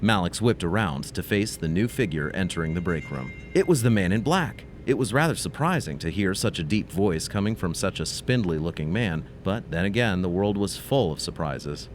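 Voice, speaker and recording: A man's voice: deep voice, lively intonation, normal speed, hardly any pauses, a little hiss or hum. A man narrating a scripted audio story in a dramatic, slightly wry manner.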